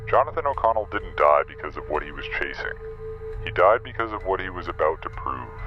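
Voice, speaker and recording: An actor playing an ominous anonymous caller in a noir audio drama, cryptic and deliberate, through a muffled call filter. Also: male voice, low voice, big pitch swings, faint music behind. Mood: ominous warning. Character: mysterious, ominous anonymous male caller with warnings.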